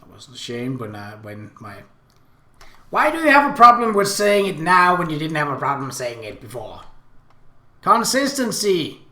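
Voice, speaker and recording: Guy reading a visual novel out loud, super expressive, bright voice, echoey room. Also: a little high, moderate pace. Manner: expressive, animated. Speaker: let's play host. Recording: roomy echo.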